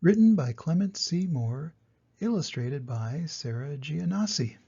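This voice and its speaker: An older man reading a storybook to his grandchildren in an affectionate, expressive way, with a husky, creaky voice. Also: on the high side, unhurried, highs cut off. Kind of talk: storybook read-aloud with personal introduction.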